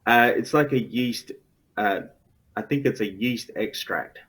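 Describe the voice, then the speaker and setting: A man's voice: medium pitch, measured pace, a bit bright, husky. An Australian man interviewing a musician in a casual chat, hesitant with many ums. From Australia, Perth.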